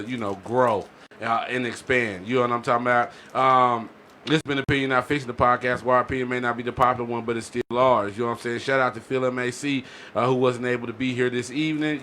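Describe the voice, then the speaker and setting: A man hosting a laid-out podcast segment in loose, slangy conversation. His voice is bright and forward at a middle pitch, and he keeps tagging sentences with little check-in phrases. There is light noise behind him. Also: flat delivery, quick pace. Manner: repeats "you know what I'm saying" tags. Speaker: podcast host. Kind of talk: casual podcast talk with slang. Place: podcast studio.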